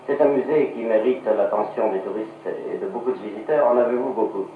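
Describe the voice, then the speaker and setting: A man's voice: lowish voice, a little fast, phone-quality sound. Echoey 1950s radio man, formal reporter. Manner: formal, carefully phrased. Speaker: radio interviewer. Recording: scratchy 1950s radio archive, distant, band-limited.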